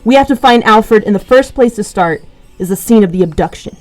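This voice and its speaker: A woman's voice: mid-range voice, lively intonation, fairly quick, soft background music, close to an everyday voice. A woman playing a proud, scornful young boy sidekick, fiercely loyal to his father, in an amateur superhero radio drama. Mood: investigating, cocky.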